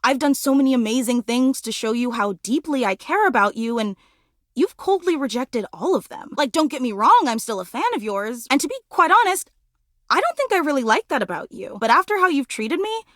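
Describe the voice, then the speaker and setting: A young woman voicing an excitable, starstruck female fan character in a video game, with dramatic, gushing delivery and casual youthful phrasing. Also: high voice, fairly quick, no room sound, clean studio sound. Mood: hurt, disillusioned. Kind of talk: video game voice acting, romance dialogue.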